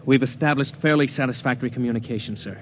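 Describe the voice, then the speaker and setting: A man's voice: mid-range voice, fast, natural voice. A man playing an earnest, dutiful communications officer who speaks with deference in an old radio drama. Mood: reporting, earnest. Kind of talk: mid-century radio drama acting. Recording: old radio recording, faint noise.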